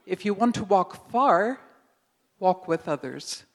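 A woman in the audience who speaks in an earnest, deliberate way. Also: mid-range voice, expressive. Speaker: audience member.

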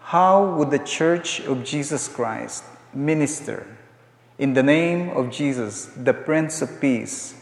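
An older man gives a sermon in a slow, conversational way, dropping in humorous asides. His voice rings through a large church hall with a long, echoing tail. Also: mid-range voice, creaky. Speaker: guest preacher. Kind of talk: sermon with storytelling. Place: church sanctuary.

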